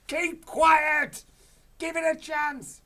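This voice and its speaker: A storyteller voicing an excitable, impatient father who shouts his orders. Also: normal speed, exaggerated voice. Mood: excited shouting. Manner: loud, shrill, shouty urging.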